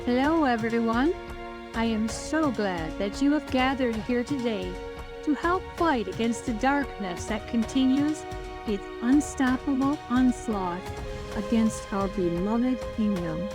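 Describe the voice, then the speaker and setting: A woman's voice: a little high, animated, measured pace, over music. A woman playing a gracious, solemn, motherly queen in a fantasy audio play, speaking in a stately, formal way. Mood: regal.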